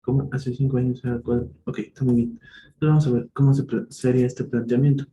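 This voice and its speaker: Dark-voiced man tutoring math, echoey room. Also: medium pitch, level tone. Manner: thinks aloud, self-correcting, slow and patient. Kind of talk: live online tutoring, working problems step by step. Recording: echoey room, faint noise.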